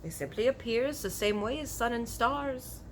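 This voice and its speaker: A woman's voice: fairly high pitch, slight background noise, voice pushed up, close to an everyday voice, low-quality recording. Woman voicing a blustery old storybook giant, emphatic. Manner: mangled grammar, emphatic drawn-out words. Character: very old male giant, blustery, earnest, muddled speech.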